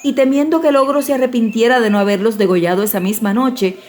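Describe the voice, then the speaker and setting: A woman narrates a children's fairy tale in a slightly high voice. Her storytelling is expressive and measured, with light music playing behind her.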